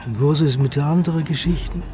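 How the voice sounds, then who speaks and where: An actor playing an anxious, bewildered foreign deportee in a German radio drama, questioning in halting, non-native phrasing. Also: male voice, on the high side, music in the background, close to an everyday voice. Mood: puzzled.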